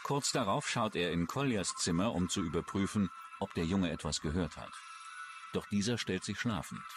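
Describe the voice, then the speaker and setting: A man's voice: deep voice, lively intonation, normal speed. A man reading scripted true-crime documentary narration as the narrator. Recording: music under the voice. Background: music.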